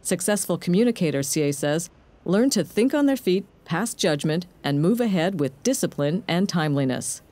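Brief: woman news reporter, low mellow voice, calm scripted voice-over. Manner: measured, even reading.

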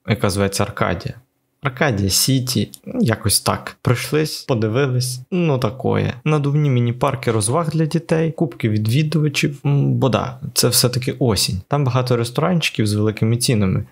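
Male vlogger, casual voice-over with wry asides; mid-range voice over a clear music bed. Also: mid-range voice. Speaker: travel vlogger.

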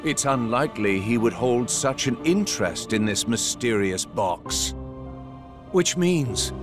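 An actor playing a calm, calculating middle-aged schemer in a video game, speaking in a measured, probing way. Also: male voice, mid-range voice. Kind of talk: cinematic video game voice acting.

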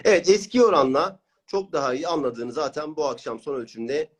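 A man's voice: on the high side, expressive, fairly quick. Encouraging man hosting an interview. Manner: encouraging, praising. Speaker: host, speed-reading coach. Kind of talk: interviewing clients, explaining a course.

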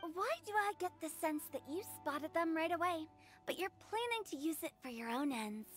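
A woman's voice: very high-pitched, lively intonation, normal speed. A woman voicing a playful, teasing young funeral parlor director in a video game, sly and mischievous, with music behind. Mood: suspicious.

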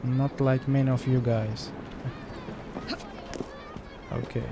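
A man's voice: medium pitch, heavy background noise. A man whose first language is Portuguese, casually commenting while playing a game and grumbling at it. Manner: relaxed, chatty, quick to grumble at the game. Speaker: gameplay streamer. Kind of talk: casual let's-play commentary. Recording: game music playing behind.

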